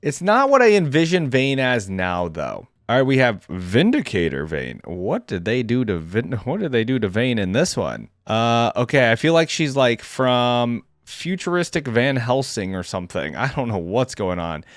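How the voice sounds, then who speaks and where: Male reaction host: casual gamer talk, animated intonation, close dry mic, slangy and unscripted. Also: mid-range voice, moderate pace, hardly any pauses, no room sound. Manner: informal, slangy, thinking aloud. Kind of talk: casual off-the-cuff gaming commentary.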